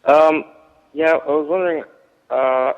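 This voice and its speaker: A man calling in to a live show, speaking in a hesitant, halting way. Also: a little high, fairly even intonation, clean tone. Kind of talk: phone-in call. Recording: reverberant, phone-like line.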